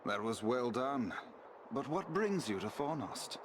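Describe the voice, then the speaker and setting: A man's voice: medium pitch, very animated, unhurried, noisy background. A man playing a noble, courtly elf warrior in a fantasy game, speaking formally. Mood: questioning. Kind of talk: fantasy game cutscene acting.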